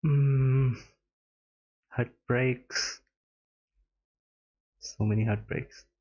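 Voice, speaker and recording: Very slow-talking male game streamer. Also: mid-range voice, fairly even intonation, darkish tone, muffled recording. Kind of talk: casual game reaction commentary.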